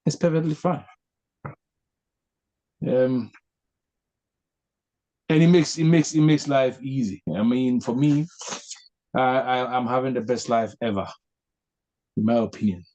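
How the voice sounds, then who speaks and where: A man hosting a reflective spiritual discussion over a video call, earnest and posing long searching questions with many pauses. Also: mid-range voice, warm tone, muffled recording. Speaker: host leading a discussion.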